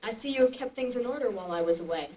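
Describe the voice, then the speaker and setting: High-voiced gracious young princess, played by a woman. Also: expressive, racing, mellow, close to an everyday voice. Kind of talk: amateur puppet show acting. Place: live puppet show at a convention. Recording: distant camcorder with background hiss.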